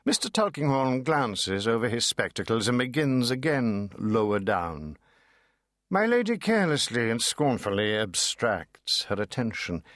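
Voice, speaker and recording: A man narrating classic fiction as a dramatic audiobook, measured and savouring each phrase. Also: medium pitch, big pitch swings, a bit bright, dry recording, clean studio sound.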